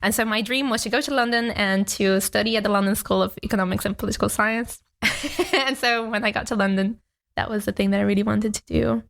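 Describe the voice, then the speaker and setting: A woman's voice: medium pitch, steady tone, brisk, vocal fry, a bit bright. A young woman from Brazil whose first language is Portuguese, chatting reflectively as a podcast guest and laughing often. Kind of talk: casual interview chat.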